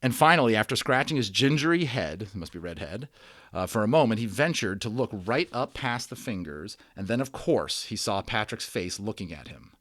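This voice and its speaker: A man reading a children's book aloud as a storyteller, expressive and dramatic, with conversational explanations for listeners. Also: fairly low pitch, normal speed, no room sound, studio quality. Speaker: storybook reader.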